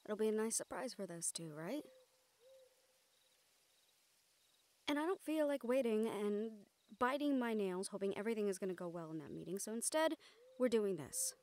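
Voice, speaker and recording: A woman playing an excitable, caring young mage in an intimate audio roleplay, with animated, halting delivery. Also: mid-range voice, lightly acted. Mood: eager, nervous. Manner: animated, halting, swearing freely.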